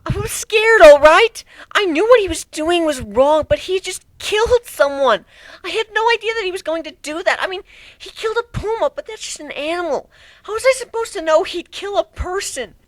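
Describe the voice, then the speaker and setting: A scared, sorry young griffin girl acted out by a woman pleads with childlike emotion. Her voice sits extremely high, in a dry, close recording, as in a dramatic storybook reading. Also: animated, moderate pace, no room sound, poor audio. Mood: frightened, defensive. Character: frightened, remorseful young female griffin, fourteen, childlike.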